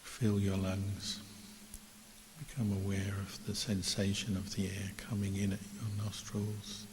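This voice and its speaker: Low, even-voiced man guiding meditation, hall reverb. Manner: calm, measured, with long pauses. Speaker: Buddhist monk, meditation teacher. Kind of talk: guided meditation instruction. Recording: echoey hall reverb with clear hiss.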